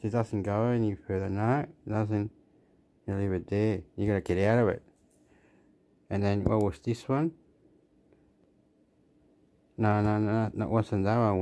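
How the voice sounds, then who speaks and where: A man's voice: lowish voice, warm tone. Rambling Australian man talking to himself. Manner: meandering, repeats himself, talks to himself, restarts words. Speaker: vlogger. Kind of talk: rambling screen-recording commentary.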